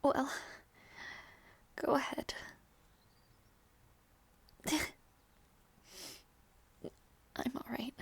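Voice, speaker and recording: Woman acting a high-voiced, unhurried young princess. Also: little pitch movement, creaky, breathy edge, in a whisper, exaggerated voice. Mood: tender, solemn. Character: young princess, tender and wistful, teasing, regal. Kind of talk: intimate roleplay audio drama.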